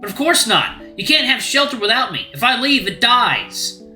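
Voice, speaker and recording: A man's voice: high voice, expressive, fairly quick, pushed and bright, echoey room, soft background music, full character voice. A man voicing a bitter, exhausted young human man who talks in a raised, resentful way.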